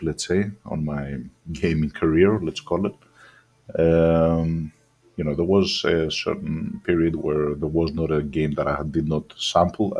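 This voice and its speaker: A man with a mixed accent that is partly Greek-sounding, raised in Australia, reviewing a game in a casual, meandering way. His voice sits very low and sounds husky. He hesitates a lot and often starts his sentences over. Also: a little mellow. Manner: rambling, hesitant, many ums and restarts. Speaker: gaming channel host.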